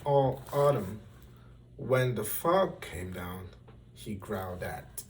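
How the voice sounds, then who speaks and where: A man reading a children's story aloud in an expressive, deliberate, theatrical style. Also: fairly low pitch, recorded from a distance, slight background noise. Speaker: storybook reader.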